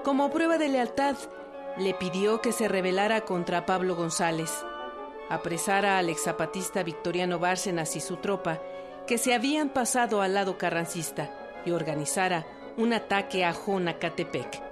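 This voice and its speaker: A woman's voice: lowish voice, normal speed. A woman reading scripted narration for a historical radio drama. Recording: prominent string music bed.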